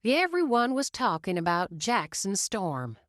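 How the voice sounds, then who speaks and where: A woman reading a children's picture book aloud in a slow, expressive storytelling manner. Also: mid-range voice, no room sound, clean studio sound.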